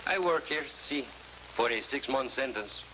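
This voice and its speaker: Happy latin american jailbird w spanish accent, flowery n polite, super lively pitch, noisy old 50s drama audio. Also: male voice, normal speed. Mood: amiable, earnest. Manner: polite, florid, guileless. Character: cheerful Latin American prisoner trusty, romantic rogue with a broom. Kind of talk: 1950s American crime drama acting. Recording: noisy, hissy old 1950s drama soundtrack.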